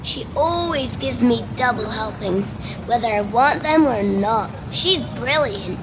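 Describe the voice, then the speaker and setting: Woman acting a high-voiced cheeky Scottish schoolboy, noisy recording. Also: expressive, unhurried. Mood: dreamy, earnest. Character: cheeky, daydreaming schoolboy, butcher's son under sixteen. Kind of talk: amateur radio play acting. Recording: noisy remote home recording.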